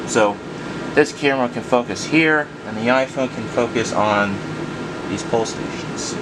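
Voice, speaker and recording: Young man, raspy tired morning voice, lowish pitch, rambling, hesitant, fan noise behind. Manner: rambling, hesitant, self-correcting. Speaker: fire alarm hobbyist. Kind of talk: casual talk to camera. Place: room at home beside a wall of alarms.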